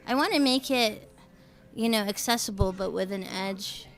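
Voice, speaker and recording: A woman's voice: medium pitch, normal speed. Woman musician guest; chatty, loose, lots of "um" and "like". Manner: chatty, many fillers and restarts. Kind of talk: casual interview chat. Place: radio show studio.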